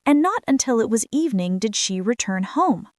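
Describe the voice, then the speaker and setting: A woman narrates a children's fairy tale in a fairly high voice. Her pitch moves expressively through each sentence, and the recording is close and dry. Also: sing-song, normal speed, darkish tone, no room sound. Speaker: storyteller for children.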